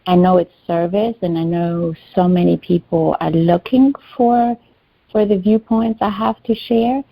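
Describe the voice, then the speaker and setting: A woman whose first language is Albanian talks haltingly over a video call, pausing and searching for words. Her voice sits a little low and sounds dull and dry, with no room around it. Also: steady tone, gravelly, no echo. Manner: hesitant, searching for words, many fillers. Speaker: client in a healing session. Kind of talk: personal sharing in a one-on-one session.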